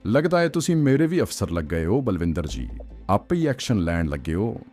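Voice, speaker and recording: A man's voice: fairly low pitch, very animated, darkish tone. A man voicing a cold interrogator in an audio story, grave and mocking as he delivers threats. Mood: sarcastic rebuke. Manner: grave, icy, sardonic, unhurried threats. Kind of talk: audiobook villain acting. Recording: faint music bed under voice.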